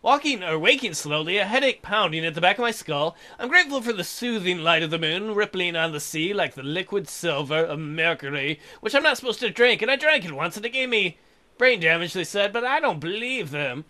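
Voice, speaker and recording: A man's voice: sits high, expressive, a little fast, pushed and bright. A man hosting a let's play, reading a visual novel aloud with crude, irreverent joking asides.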